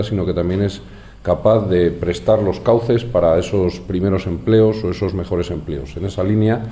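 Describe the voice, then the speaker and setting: Very deep flat voiced man, culture official, long formal speech phrases. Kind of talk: formal speech at an agreement signing. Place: university hall.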